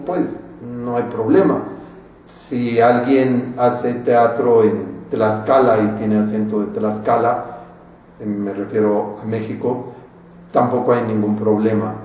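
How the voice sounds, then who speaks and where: Slow, echoey Mexican acting teacher. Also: male voice, medium pitch, warm tone. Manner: slow, deliberate, anecdotal. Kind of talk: storytelling lecture. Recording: very echoey room, far from mic.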